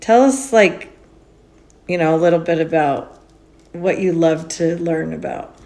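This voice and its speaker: A woman hosts a casual podcast in a chatty, upbeat way, leaning into her words with lively emphasis. Her voice sits a little low for a woman, and she moves the talk along easily. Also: unhurried. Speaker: podcast host. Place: indoors on a road trip in Utah mountains.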